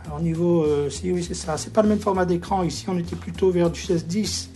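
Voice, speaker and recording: A hoarse man talks through a casual gadget review, pausing often and filling gaps with hesitant sounds. Music plays clearly underneath his voice. He keeps a relaxed, unscripted delivery from start to finish. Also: high-pitched. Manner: hesitant, many filler sounds. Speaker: tablet reviewer. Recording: music clearly playing behind voice.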